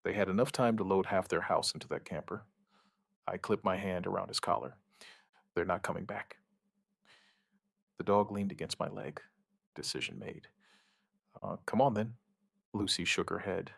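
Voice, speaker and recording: An actor playing a shaken outbreak survivor, giving his account in halting, somber, dramatic narration with short deliberate phrases. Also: sits low, vocal fry, husky, dry recording, clean studio sound, full character voice. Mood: quietly determined. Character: shaken male outbreak survivor recounting events, dryly stubborn. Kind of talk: dramatic first-person scripted narration, witness account.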